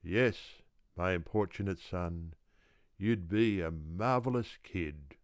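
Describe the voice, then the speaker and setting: Very deep, unhurried male storyteller. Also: dry recording, studio quality. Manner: deliberate, theatrical, rhythmic phrasing. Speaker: audiobook reader. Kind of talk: children's story narration.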